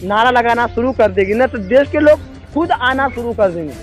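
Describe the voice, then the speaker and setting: A man's voice: very high-pitched, lively intonation, normal speed. A man speaking as an activist founder on a phone call, earnest, exhorting and repeating himself. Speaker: movement founder. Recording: live call recording with music behind.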